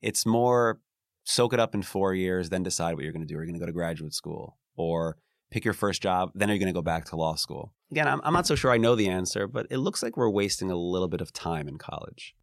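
A man's voice: sits low, lively intonation, brisk, gravelly, no room sound, studio quality. An American man, a lawyer, talking earnestly in an interview about his career, often restarting his words and adding asides. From United States. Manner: frequent restarts and "you know" asides, earnest. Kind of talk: reflective casual interview talk.

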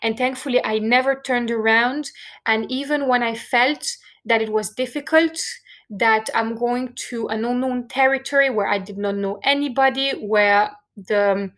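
Woman host, French accent, earnest heartfelt delivery, voice sitting a touch high, talks straight to listener. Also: fairly even intonation, moderate pace, a bit bright. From France. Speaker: podcast host. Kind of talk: personal reflective monologue.

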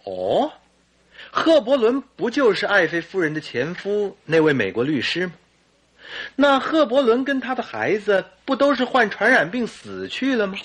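Skeptical doctor sidekick, radio play. Slightly high, raspy voice, impatient and challenging. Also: male voice, huge pitch swings, normal speed, fairly bright tone, exaggerated voice. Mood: questioning, surprised. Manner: challenging, questioning. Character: skeptical, impatient male doctor Watson, the detective's questioning companion. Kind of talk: old-fashioned Mandarin radio drama acting.

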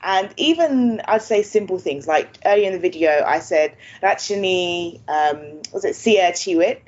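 A woman's voice: on the low side, expressive, a little slow, a little mellow. A native English-speaking woman hosting a language-learning video, talking casually and laughing often. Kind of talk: casual chat. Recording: dull lo-fi sound.

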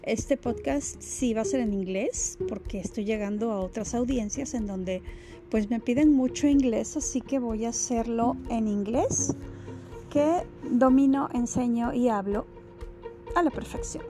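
A woman's voice: on the high side, measured pace. Lady podcaster rambling through her announcements, lots of ehs and restarts, music playing behind. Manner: chatty, warm thanks with many fillers and restarts. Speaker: podcast host.

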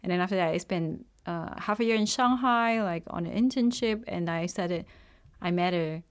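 A young woman from Singapore, talking freely as a guest in a relaxed interview. Her voice sits a little low, and she keeps the words flowing with frequent "like" and "you know". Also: moderate pace, clean tone. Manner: chatty, many fillers like "like" and "you know". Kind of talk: casual conversational interview.

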